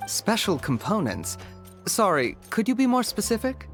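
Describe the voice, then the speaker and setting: A man's voice: high voice, wildly swooping pitch, normal speed, fairly bright tone, faint music behind, heavily acted. A man playing a polite, cooperative clockwork shopkeeper in a video game, with courteous customer-service speech. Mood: puzzled. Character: polite male clockwork toy shopkeeper, cooperative, slightly puzzled.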